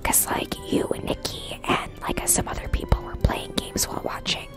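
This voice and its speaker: Whispering woman ASMR game streamer. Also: normal speed. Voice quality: breathy whisper. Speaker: live streamer. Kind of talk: whispered ASMR gaming stream, casual chat with viewers. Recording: music behind the whispering. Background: clear background music.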